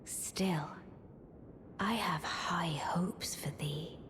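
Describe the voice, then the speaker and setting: A woman voicing a regal, enigmatic doll witch in a video game, hushed, stately and archaic in her speech. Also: fairly low pitch, very slow, noisy background. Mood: calm, solemn. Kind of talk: video game character dialogue.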